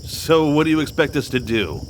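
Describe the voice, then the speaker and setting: A man's voice: fairly high pitch, big pitch swings, a little fast, poor audio. A man playing a bitter, vengeful, hardened fighter in an audio drama, blunt and confrontational. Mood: vengeful, grim. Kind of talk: audio drama dialogue acting. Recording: faint ambient noise behind.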